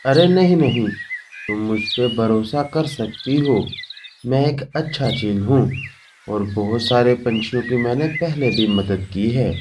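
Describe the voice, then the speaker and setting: Greedy cartoon eagle, male actor, mid-pitched, blustering and falsely friendly, bird chirps behind. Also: a little slow, recorded from a distance, noisy background, lightly acted. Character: greedy, scheming male hunter eagle feigning kindness. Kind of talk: Hindi children's cartoon voice acting.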